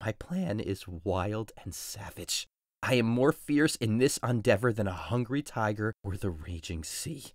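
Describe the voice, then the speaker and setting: A man playing a desperate, grief-stricken young husband in a dramatic audio drama, intense and swinging between hushed menace and anguish. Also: fairly low pitch, lively intonation, a little slow, no room sound, clean studio sound. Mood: commanding, menacing. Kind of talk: dramatic audio drama acting, modernized Shakespeare.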